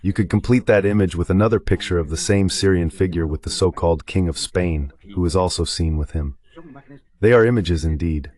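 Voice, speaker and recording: A man's voice: low-pitched, brisk, darkish tone. A man voicing a political guest's commentary in translation.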